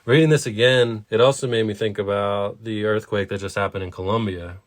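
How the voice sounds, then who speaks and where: A man's voice: fairly low pitch, steady tone, a little fast. A man co-hosting a podcast, discussing stories conversationally in an earnest, reflective way. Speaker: podcast co-host.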